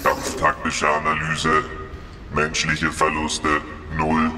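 An actor playing a cold, analytical combat robot in a sci-fi audio drama, speaking in a clipped, mechanical way. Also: male voice, very deep voice, completely flat delivery, slow pace, heavy background noise. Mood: emotionless. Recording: distant, reverberant sound under loud music.

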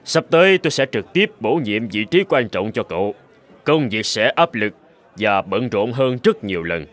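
A stern, deliberate company boss. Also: male voice, lowish voice, sing-song, fairly bright tone. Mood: approving. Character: stern middle-aged male company director, measured and demanding. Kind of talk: cartoon dubbing.

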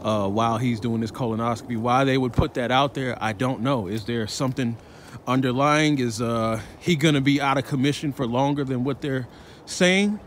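A man's voice: medium pitch, normal speed. A man talks while walking down a city sidewalk, preaching his views in a street-slang manner and checking in with "all right?" and "man" again and again. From Chicago, United States. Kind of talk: walking vlog commentary. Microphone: phone held while walking. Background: faint traffic and street ambience.

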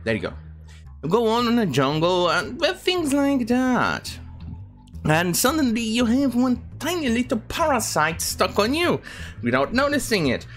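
A man's voice: very high voice, sing-song, measured pace, fairly bright tone, soft background music. A man in his thirties, not a native English speaker, hosting an indignant, rambling commentary video from home. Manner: indignant, rambling, many fillers. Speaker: commentary channel host. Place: home room with bookshelves.

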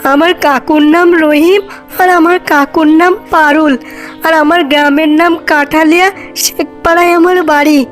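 A woman's voice: sits high, fairly even intonation, unhurried, faint music behind. A woman voicing a timid, mistreated orphan village girl in a cartoon fairy tale, plaintive and childlike.

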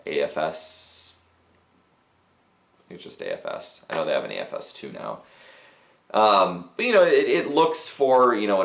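A man's voice: extremely low pitch, creaky. A man in his thirties casually reviewing audio gear to camera, talking technically with fillers and restarts, recorded in a small office. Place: small cluttered office with speakers and mixers. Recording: roomy small-office echo.

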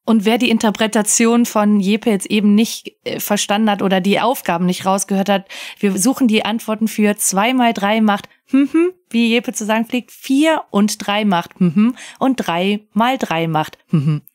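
A woman's voice: mid-range voice, normal speed, a little mellow. Woman, mid-range voice, podcast co-host, casual chat.

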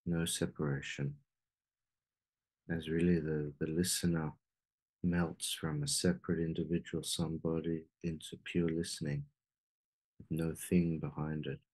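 Very deep, slow-speaking male meditation guide. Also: a little mellow, breathy edge. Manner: slow, unhurried phrasing with long pauses.

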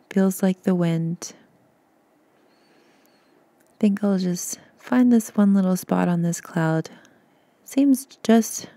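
A woman guiding a meditation in a soft, soothing, gentle voice, breathy at times, with unhurried pauses. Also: mid-range voice, no echo. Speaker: podcast host and meditation guide. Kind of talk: guided meditation narration.

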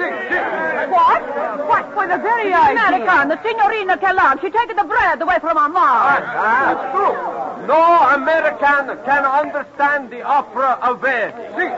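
A man playing an indignant, scornful Italian chorus singer in broken English with an Italian accent, in an old radio drama. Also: extremely high pitch. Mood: halting, accusing. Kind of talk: old-time American radio acting. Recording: muffled old radio, noisy crowd behind.

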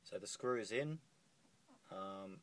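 Low-voiced man fumbling through a boat repair. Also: slow pace. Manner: hesitant, many ums and restarts. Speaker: boat owner showing a repair. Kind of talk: casual how-to walkthrough. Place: on a boat cockpit, indoors at the door track. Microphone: handheld phone camera.

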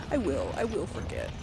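A woman's voice: fairly low pitch, gravelly, heavy background noise. A woman hosting a casual let's play, chatting and thinking aloud as she plays. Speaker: gaming channel host. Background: game music.

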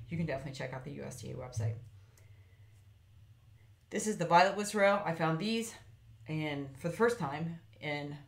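A woman's voice: low voice, reverberant. A woman hosting a foraging channel, narrating a plant slideshow in a chatty, meandering way with fillers and self-corrections. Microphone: voice-over over slides.

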